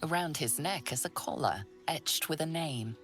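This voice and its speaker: An actress narrating a fantasy game in a measured, solemn, suspenseful storytelling manner, with breathy phrase endings. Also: female voice, deep voice, lively intonation. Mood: solemn, hushed. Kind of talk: dramatic scripted second-person narration. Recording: studio voice over background music.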